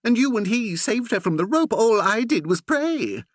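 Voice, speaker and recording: A man's voice: sits high, a little fast, bright, forceful tone, dry recording, pitched above the performer's own voice. A man voicing an earnest, anxious young curate who blurts and pleads. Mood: anguished.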